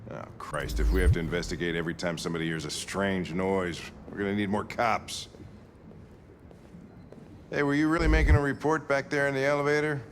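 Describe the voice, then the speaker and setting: A man playing a jaded older police lieutenant in a video game, with an American accent and a gruff, sardonic, blunt delivery. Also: low voice, a little fast, slight background noise, close to an everyday voice. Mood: irritated.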